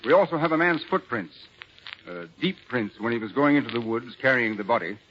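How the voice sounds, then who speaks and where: An actor playing a shrewd, confident law official laying out facts in an assured, matter-of-fact 1940s radio manner. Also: male voice, medium pitch, animated, fairly quick, close to an everyday voice. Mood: confident, businesslike. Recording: dull, noisy 1940s radio recording.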